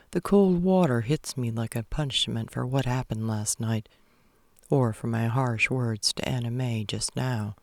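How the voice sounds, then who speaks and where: A man's voice: medium pitch, no echo. A man narrating a first-person romance story as a read-aloud. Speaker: audiobook-style narrator.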